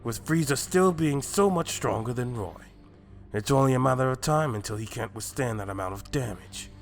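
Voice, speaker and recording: A man's voice: medium pitch, expressive, normal speed, soft background music, close to an everyday voice. A man voicing a brash, impatient god of destruction with a casual, blunt, taunting delivery in an anime fan drama. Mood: smug.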